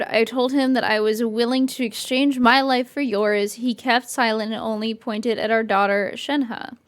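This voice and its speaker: A woman's voice: on the high side, clear, resonant tone. A woman game streamer giving casual, informal and reactive commentary as she plays.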